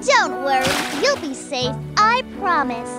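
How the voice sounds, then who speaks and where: Little cartoon girl, real high and bouncy, caring and earnest, unhurried. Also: big pitch swings. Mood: reassuring, earnest. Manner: bright exclamations, earnest reassuring delivery. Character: cheerful, caring, bossy-kind little girl adventurer. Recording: loud children's music behind.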